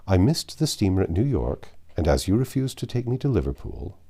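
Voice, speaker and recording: Man reading as a composed, low-voiced English gentleman. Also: a little fast, full character voice. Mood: resolute. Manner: calm, formal, direct.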